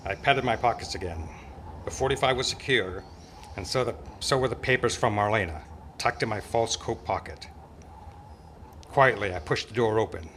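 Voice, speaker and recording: A man's voice: lowish voice, normal speed, a bit bright, close to an everyday voice. A man playing a tough, gallant private eye in an old-time radio drama, with a wry, world-weary, slangy delivery. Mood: narrating. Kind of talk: hardboiled radio detective narration and dialogue. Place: club meeting, live performance before microphone. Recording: noisy amateur live stage recording.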